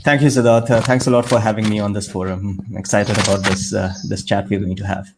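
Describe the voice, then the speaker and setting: Thirties man from India, measured explainer, low voice, video call with faint background noise. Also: flat delivery. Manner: measured, explanatory, with frequent "uh" fillers. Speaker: guest scientist. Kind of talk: interview answers.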